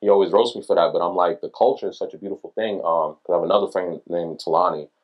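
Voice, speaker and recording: A man's voice: sits low, quick pace, muffled recording. A man with a Brooklyn accent hosting a casual interview, loose and slangy, often restarting his sentences. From Brooklyn, New York. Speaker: podcast host.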